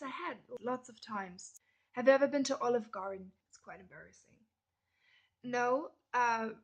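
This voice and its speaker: Young woman, German accent, fairly high voice, gravelly and husky, casual vlog chat with whispered asides. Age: young adult. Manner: chatty, drops into soft whispered asides. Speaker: vlogger. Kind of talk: casual question-and-answer tag video.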